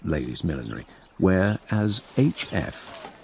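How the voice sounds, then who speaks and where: An actor playing a wry, imperious diarist narrating a radio drama with sardonic, theatrical asides. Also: male voice, low voice, sing-song, unhurried, a little mellow, a little hiss or hum, full character voice. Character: wry, imperious male narrator-diarist of plague-stricken London.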